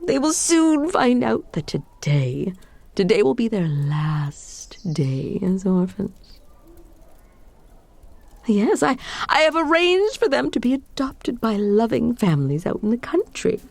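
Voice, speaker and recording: A vain, scheming evil sorceress, played by a woman, gloats through a comedic villain monologue. Her voice swoops widely up and down, and she drags her words out slowly. A gravelly rasp runs under her theatrical, whispery delivery. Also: a little high, very animated, darkish tone, dry recording, heavily acted. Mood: triumphant.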